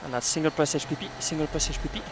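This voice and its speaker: A man's voice: on the high side, racing. A man working as a race engineer, giving short, repeated technical instructions over team radio. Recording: noisy race car radio link.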